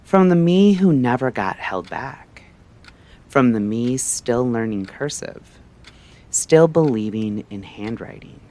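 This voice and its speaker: A man narrating a scripted story like a late-night radio host, in a measured, theatrical way with short phrases and pauses. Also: mid-range voice, animated, darkish tone.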